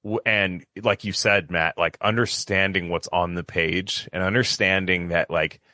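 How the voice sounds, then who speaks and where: A man with a very low, bright voice talks casually on a podcast. He is enthusiastic but halting, often doubling back on his words. The sound is close and dry. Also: fairly even intonation, no echo. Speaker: podcast co-host.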